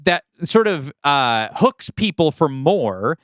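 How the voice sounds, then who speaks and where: Animated, smooth-voiced man podcasting. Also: fairly high pitch, slow, no room sound, studio quality. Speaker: podcast co-host. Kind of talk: casual chat about writing a movie script.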